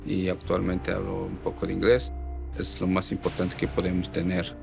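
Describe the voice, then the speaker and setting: Low gravelly man, music behind. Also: monotone, moderate pace. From Oaxaca, Mexico. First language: Mixe. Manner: reflective, with frequent "este" fillers. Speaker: artist. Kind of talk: interview answers.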